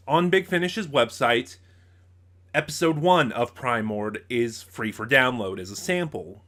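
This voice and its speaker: A man giving a casual, unscripted review as a reviewer, speaking haltingly and often losing his thread. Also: mid-range voice, pushed and bright. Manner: halting, loses his train of thought.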